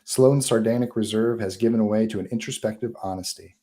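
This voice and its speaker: A man reading screenplay action aloud as narrator of a live table read, in an informal, animated way. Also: on the low side, brisk.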